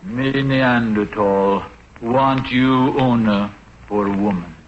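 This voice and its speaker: An actor playing a blunt caveman suitor who speaks halting, primitive speech in 1940s radio comedy style. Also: medium pitch, natural voice. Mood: blunt, insistent. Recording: dull, noisy 1940s radio recording.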